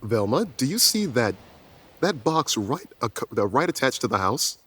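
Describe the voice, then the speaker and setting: Lively, excitable male player. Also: normal speed, slightly rough. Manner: excitable, swings up in pitch. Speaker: tabletop game player. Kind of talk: casual play chat.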